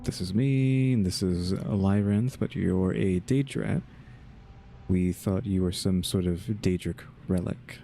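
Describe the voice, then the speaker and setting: A man's voice: deep voice, slow pace, mellow, a little hiss or hum. A man giving casual, laid-back video game commentary, musing aloud as he plays. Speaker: gaming channel host. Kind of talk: casual let's-play commentary. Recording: clear game music behind voice.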